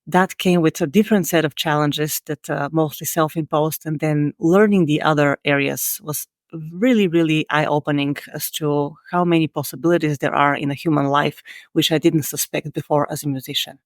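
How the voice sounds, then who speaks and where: Female guest, 40s, Bulgarian accent, gravelly texture, earnest and self-critical storyteller. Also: low voice, fairly even intonation, moderate pace, dry recording, clean studio sound. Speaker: podcast guest. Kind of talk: reflective interview answers.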